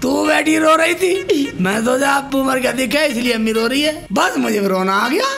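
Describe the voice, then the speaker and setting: Casting: male actor for simpleton son in broad comedy, gravelly, animated, overblown and naive; music underneath. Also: extremely high pitch, normal speed, exaggerated voice. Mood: naive, complaining. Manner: exaggerated loud wailing and naive questioning. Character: dim-witted, melodramatic married son, a folk simpleton. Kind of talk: broad comedy skit acting.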